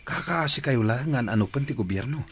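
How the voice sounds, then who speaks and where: A man's voice: mid-range voice, expressive, a little fast, fairly bright tone, slight background noise, lightly acted. A man playing a concerned village man in an Ilocano radio drama, an elder brother sheltering his rebel cousin. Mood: earnest, concerned.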